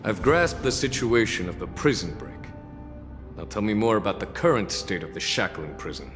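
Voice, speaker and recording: Low, composed general in echoing hall. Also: male voice, sing-song, normal speed. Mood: assured, courteous. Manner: formal, commanding. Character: composed, dutiful, strategic general of a fantasy army. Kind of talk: dramatic video game voice acting. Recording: long echoing hall reverb, music behind.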